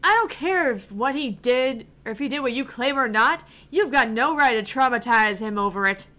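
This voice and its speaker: A woman's voice: fairly high pitch, animated, moderate pace, pushed and bright. Woman playing a brash, fiery pro gamer heroine. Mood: furious, indignant. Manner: theatrical, indignant, foul-mouthed. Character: brash, fiery young female pro gamer, theatrical champion of justice.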